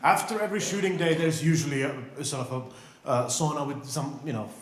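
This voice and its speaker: A man's voice: a little high, brisk. A man with a Finnish accent giving a humorous conference talk, joking and laughing often. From Finland. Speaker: film director, panel speaker. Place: large event room. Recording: roomy hall.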